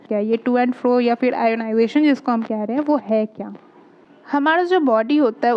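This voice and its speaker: High-pitched Indian woman teaching. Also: dull audio. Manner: didactic, asks and answers own questions; code-mixes English technical terms. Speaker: physiotherapy teacher. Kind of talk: explanatory lesson in simple steps.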